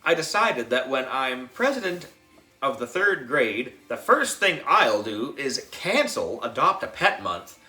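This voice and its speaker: A man reading a children's book as a young boy narrator, expressive, dramatic and playful. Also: medium pitch, a little slow, reverberant, faint music behind. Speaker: audiobook reader.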